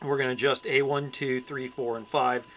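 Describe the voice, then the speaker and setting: A man giving a casual, unscripted repair walkthrough, plainspoken and thinking aloud with pauses as he works. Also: mid-range voice, level tone, a bit bright, slight background noise. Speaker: hobbyist radio repairer presenting his channel.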